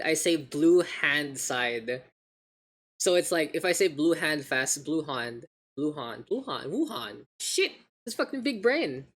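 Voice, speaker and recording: A man with a gravelly voice hosting an esports livestream, mixing casual slangy chat and game commentary. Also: on the high side, sharp tone. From Philippines. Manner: informal, gamer slang, joking asides. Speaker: esports caster, streamer hosting the match. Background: video game sounds and announcer.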